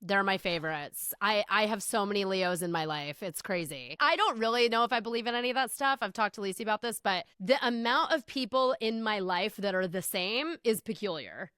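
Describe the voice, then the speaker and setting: A woman's voice: medium pitch, fairly quick, few pauses, a bit bright, clean tone, no echo, clean studio sound. A woman from Austin, Texas co-hosting a podcast, chatty and upbeat, saying "like" a lot. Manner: chatty, upbeat, says like often. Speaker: podcast co-host. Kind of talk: casual chat.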